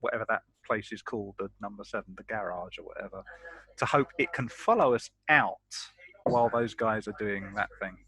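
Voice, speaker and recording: Bright-voiced man at a game table. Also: fairly low pitch, a little fast, breathy edge, rough recording. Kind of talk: casual game-table chat.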